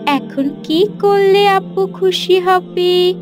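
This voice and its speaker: A woman voicing a naughty little girl in a children's moral cartoon, with childlike, drawn-out pleading. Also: very high-pitched, music in the background, voice pushed up, full character voice. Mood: thoughtful.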